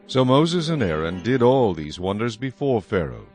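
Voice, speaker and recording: A man narrating a dramatized audio Bible in a measured, solemn storytelling style. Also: on the low side, sing-song, darkish tone. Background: music.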